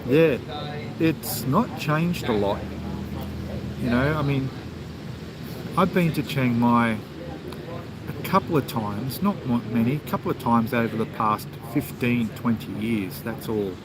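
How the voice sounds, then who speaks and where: A man's voice: medium pitch, unhurried, pauses often. A man with an Australian accent, hosting a casual travel live stream and answering viewer comments in a rambling, chatty way, with plenty of ums and restarts. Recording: clear outdoor background noise.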